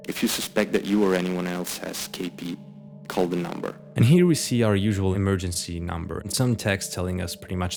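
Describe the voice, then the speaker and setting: A man's voice: low-pitched, vocal fry, darkish tone. A man giving scripted commentary as the narrator of a horror video essay.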